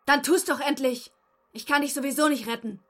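A woman's voice: high-pitched, a little fast, a bit bright, no echo, soft background music. An actress playing an intense, troubled young woman who narrates her breakdown in a wry, matter-of-fact way in a radio play. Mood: furious outburst.

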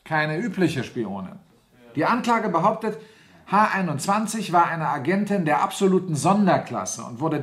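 A sardonic general presiding over a military court acted by a man, with a fairly high male voice. He speaks formally and commandingly, in stern radio drama style. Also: lightly acted. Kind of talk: German radio play acting.

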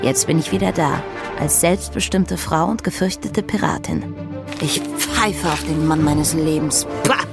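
Defiant, low-voiced female pirate. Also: unhurried. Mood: defiant, proud. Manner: bold, scornful. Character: defiant, proud, swaggering female Caribbean pirate. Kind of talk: scripted audio drama trailer. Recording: music bed under the voice.

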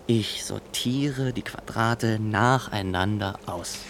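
A rigid, dutiful official in a radio drama. He speaks in a lowish register with precise, formal phrasing, over audible background noise. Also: slow pace. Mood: officious. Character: stiff, formal government fox inspector, rigid and dutiful. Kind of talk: German radio drama acting. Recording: noticeable ambient background noise.

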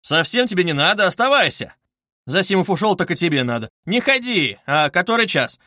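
A man's voice: on the high side, big pitch swings, moderate pace, a bit bright, dry recording, studio quality, pitched above the performer's own voice. A man playing an irritable, brooding, feverish young man with an abrupt, agitated, insistent way of talking. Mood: restless, insistent.

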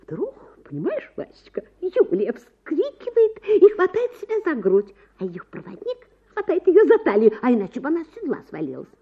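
A woman voicing a coy, self-righteous society wife in a dramatic story reading, gushing and theatrical, with drawn-out words. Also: sits high, wildly swooping pitch, narrow, tinny audio, voice pushed up, heavily acted. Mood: breathless gossip.

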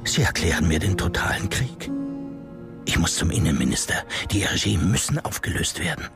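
An actor playing a scheming male politician, heard as a hushed, half-whispered inner monologue in a radio drama. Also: low voice, sing-song, normal speed, vocal fry, loud music behind the voice, heavily acted. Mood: alarmed.

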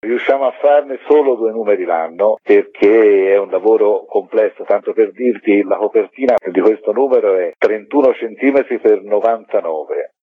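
A man's voice: fairly low pitch, normal speed, hardly any pauses, husky, no room sound. A middle-aged man giving discursive, explanatory answers as an interview guest. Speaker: interview guest, magazine curator. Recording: tinny phone line.

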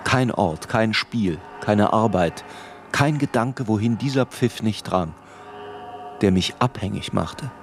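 An actor playing a grown man who recalls his tormented boyhood in grave, measured literary narration for a radio play. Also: on the low side, expressive, slight background noise. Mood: haunted, grave.

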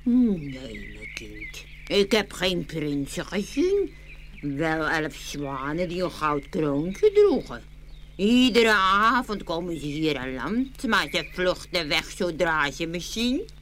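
Little old woman of the woods: kindly, unhurried, storybook radio play, faint noise. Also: fairly low pitch, sing-song, fairly bright tone, exaggerated voice. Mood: helpful. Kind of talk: fairy-tale radio play acting.

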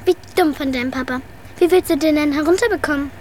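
Woman as very high, snooty little girl. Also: quick pace, a little mellow, slight background noise, close to an everyday voice. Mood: meddling. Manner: condescending, prim. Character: snooty, bossy know-it-all little girl. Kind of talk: German radio drama acting.

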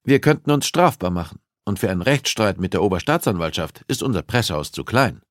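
A man's voice: fairly low pitch, expressive, a little fast, dry recording, studio quality, pitched above the performer's own voice, natural voice. A man voicing a worried, reluctant newspaper publisher in an audiobook, wary and grudging in manner. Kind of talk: audiobook dialogue acting.

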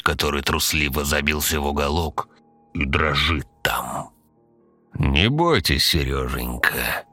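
A sinister undead conductor from a horror audio story, played by a man, speaking very low and slowly. He drawls in irony, falsely soothing and calmly threatening, with music playing behind him. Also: dry recording, heavily acted. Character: sinister male undead train conductor, mockingly sweet, calmly menacing.

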